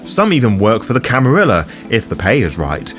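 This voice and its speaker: A man's voice: on the low side, huge pitch swings, brisk, over music. A man delivering scripted explainer narration as a guide host, in an opinionated, wordy and theatrical way. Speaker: host of a tabletop roleplay guide.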